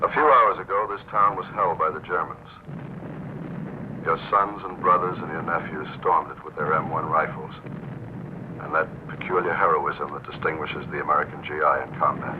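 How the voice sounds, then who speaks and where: An actor playing a solemn, self-important wartime radio correspondent, giving a measured, rhetorical 1940s-style dispatch. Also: male voice, extremely low pitch. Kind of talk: 1940s radio war report. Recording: noisy, narrow-band old broadcast tape.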